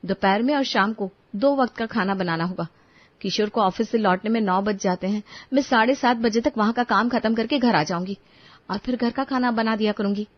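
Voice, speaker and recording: A woman's voice: medium pitch, a little fast, lo-fi sound, natural voice. A woman voicing an earnest, determined young daughter-in-law who reasons politely but firmly in a story. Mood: earnest, defensive.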